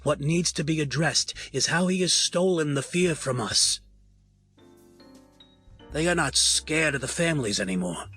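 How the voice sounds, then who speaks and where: An actor in an audio drama playing a stern, formal crime family patriarch who speaks with measured authority. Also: male voice, a little high, expressive, fairly bright tone, breathy edge, soft background music, heavily acted. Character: stern, formal male mafia patriarch, commanding and controlled. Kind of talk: scripted audio drama acting.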